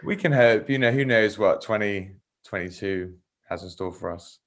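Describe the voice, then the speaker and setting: A man's voice: lowish voice, normal speed. A man speaking as the host of a casual interview, putting questions to a guest in conversational style.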